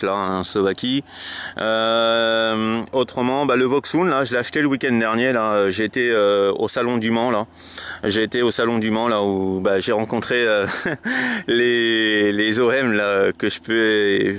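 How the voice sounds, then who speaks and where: A man's voice: fairly low pitch, fairly bright tone, clean tone. A man chatting casually as a radio operator, in a hesitant, repetitive way. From France. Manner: hesitant, repetitive verbal tics. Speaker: amateur radio operator filming his video. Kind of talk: casual radio contact chat. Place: outdoors on open high ground.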